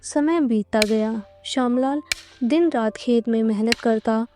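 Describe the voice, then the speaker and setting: A woman narrating a scripted moral story in a measured, dramatic storytelling manner. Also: on the high side, music in the background.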